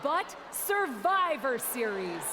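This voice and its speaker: A woman playing an authoritative, smug wrestling general manager in a video game, speaking in a formal, measured, theatrical announcing style over background noise. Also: high voice, sing-song, exaggerated voice. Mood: formal, assured. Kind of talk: scripted promo speech to an arena.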